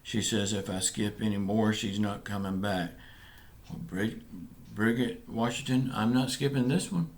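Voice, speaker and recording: Low-voiced easygoing Southern man. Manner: easygoing, rambling, halting with fillers. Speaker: vlog co-host answering viewer questions. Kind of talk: casual chat.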